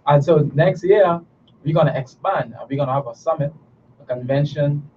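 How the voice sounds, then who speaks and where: A man from the Bahamas with a Bahamian accent, a guest on a talk show pitching his herbal products earnestly, often restarting his sentences. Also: moderate pace, darkish tone. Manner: earnest, persuasive, with frequent restarts and fillers. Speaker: guest, natural herbal products business owner. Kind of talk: promotional talk show interview. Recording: distant mic, dull sound, background noise.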